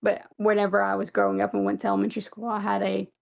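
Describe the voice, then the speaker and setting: A woman's voice: medium pitch, monotone, very fast, vocal fry. A young woman with a Southern US accent co-hosting a casual fan podcast, chatty and rambling with many fillers. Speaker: podcast co-host.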